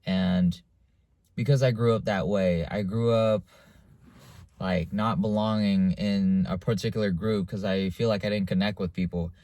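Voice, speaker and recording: Casual young man, low and dry. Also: fairly even intonation, a little slow, dark tone, dry recording. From United States. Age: young adult. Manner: casual and confessional, with frequent fillers and restarts. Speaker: vlogger. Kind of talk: candid personal monologue to camera.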